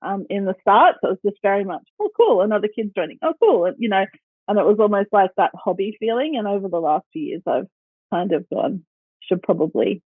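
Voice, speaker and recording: An Australian woman in her forties speaks as a podcast guest, easygoing and laughing often. Her speech is full of ums and pauses. The remote recording sounds tinny and phone-like, with a dry sound. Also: medium pitch, animated, breathy edge, no echo. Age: 40s. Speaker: guest, dance studio owner. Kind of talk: casual interview chat.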